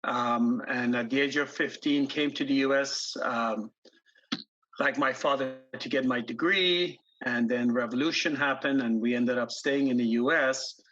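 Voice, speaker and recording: A man in his fifties from Iran talks through his past as a podcast guest, pausing often with ums and restarts. His voice is husky and mid-pitched. The recording sounds dull and lo-fi. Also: a bit bright. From grew up in Iran, born in the US. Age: 50s. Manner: halting, many ums and restarts. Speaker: guest investor. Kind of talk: casual interview storytelling.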